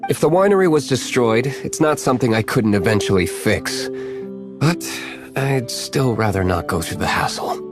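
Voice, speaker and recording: A man voicing a cool, aloof young wealthy gentleman in a video game, measured and dry. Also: mid-range voice, lively intonation, music in the background, lightly acted. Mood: nonchalant.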